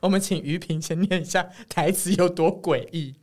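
Low-voiced Taiwanese woman hosting a podcast. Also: moderate pace, darkish tone. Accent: Taiwanese Mandarin. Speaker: podcast co-host. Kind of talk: casual playful interview chat.

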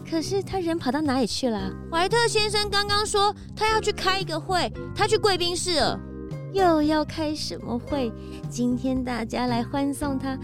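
A woman playing an earnest, expressive female animal character in a children's mystery audio drama, speaking in an exclamatory way. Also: sits high.

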